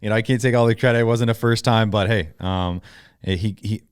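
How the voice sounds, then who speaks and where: A man's voice: lowish voice, steady tone, clear, resonant tone, dry recording, studio quality. A man hosting a podcast, upbeat and chatty, reading the guest's introduction and then asking questions. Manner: upbeat, chatty, quick to laugh. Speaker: podcast host. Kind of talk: casual interview conversation.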